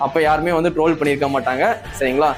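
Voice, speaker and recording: A man's voice: on the high side, fairly even intonation, rapid-fire, soft background music. A man giving casual, conversational commentary, circling back over points and often adding tag questions. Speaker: online commentator.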